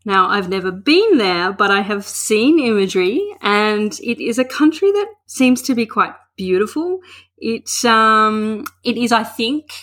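A woman hosts a relaxed interview. She talks in a chatty, rambling way, circling back and restarting her questions before she lands on them. Her voice sits at an ordinary middle pitch. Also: a little slow. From Australia. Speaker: podcast host. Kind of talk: casual interview chat.

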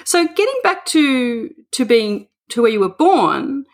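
A woman's voice: sits high, sing-song, unhurried. A woman from Australia, interviewing a guest in a chatty, rambling way. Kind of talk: casual interview.

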